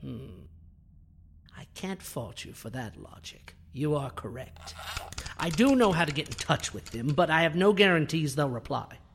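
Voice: mid-range voice, sing-song, moderate pace, gravelly, a little hiss or hum. An actor playing a proud, bitter alien scientist in a sci-fi audio drama, speaking in a haughty, theatrical, precise and formal way. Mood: sly, measured. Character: proud, bitter alien scientist who builds biohybrid creatures. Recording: music under the voice.

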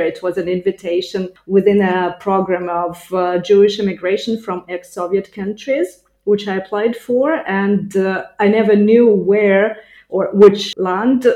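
Mellow Ukrainian-accented woman in an echoey room. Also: medium pitch. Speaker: podcast guest, language teacher. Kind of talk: casual interview answers.

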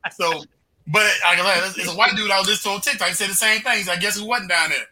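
Bright, high-voiced man chatting casually. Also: very fast. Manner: conversational slang with repeated check-in phrases. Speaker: podcast panelist. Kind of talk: casual chat.

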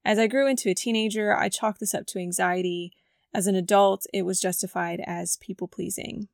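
A woman's voice: mid-range voice, fairly even intonation, brisk, gravelly, dry recording, studio quality. Scratchy-voiced woman hosting a devotional podcast. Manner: earnest, reflective storytelling. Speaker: podcast host. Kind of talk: scripted devotional podcast narration.

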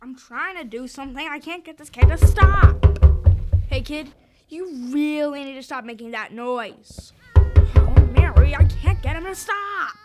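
A high-voiced performer playing a tired, exasperated young husband in an amateur comic radio play. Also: animated, unhurried, loud music behind the voice. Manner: pleading, exasperated.

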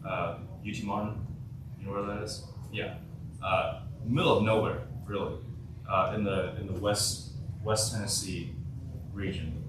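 A man's voice: deep voice, steady tone, measured pace, pauses often, background noise. A man in his twenties from South Korea, a native Korean speaker, giving a casual youth workshop talk and often asking the audience questions. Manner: conversational, asks the audience many questions. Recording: echoey hall, voice from far off.

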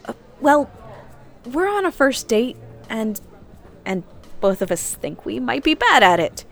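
A woman's voice: a little high, sing-song, measured pace, gravelly, faint music behind, heavily acted. An actress playing a talkative, candid, newly single woman on a first date in a naturalistic audio drama. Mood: playful.

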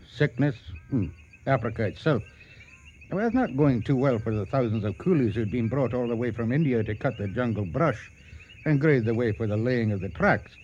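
A man playing an old safari hunter in a radio play. He is laconic and patient, with thoughtful pauses and a widely moving pitch, on a slightly muffled recording. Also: a little mellow, slight background noise, natural voice. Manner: laconic, measured, hesitant pauses. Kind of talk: radio drama acting.

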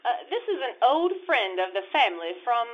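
A woman playing a fussy, flustered, well-meaning older grandmother with a halting manner in an old-time radio comedy. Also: fairly high pitch, sing-song. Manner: fluttery, halting. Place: live theater stage. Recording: dull live stage audio.

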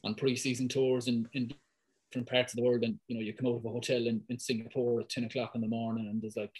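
A man in his thirties with a thick Irish accent, talking as a guest in a casual podcast conversation, lively and full of fillers. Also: medium pitch, breathy edge, poor audio. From west of Ireland. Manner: fast, lively talker, many fillers. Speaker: guest, football fitness coach.

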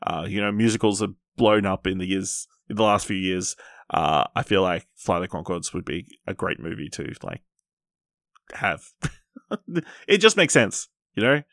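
Low, creaky-voiced man hosting a podcast. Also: expressive, moderate pace, a bit bright, dry recording, clean studio sound. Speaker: podcast host. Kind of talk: casual podcast chat.

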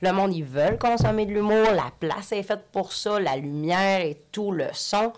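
A woman's voice: medium pitch, normal speed, a little mellow. A woman comedian from Lac-Saint-Jean with a Quebec French accent, telling stories in a chatty way and laughing often as a podcast guest. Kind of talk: casual podcast conversation.

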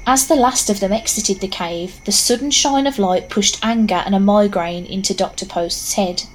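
A woman's voice: mid-range voice, moderate pace, a little hiss or hum, poor audio. A woman narrating a scripted story in a wry, conversational way with knowing asides.

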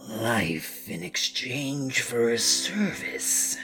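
A man voicing a monstrous earwig creature with a face inside it, speaking in a deep, buzzy hiss, slow and cold as it bargains. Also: very animated, bright, forceful tone, faint music behind, full character voice. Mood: menacing. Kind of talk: horror story narration.

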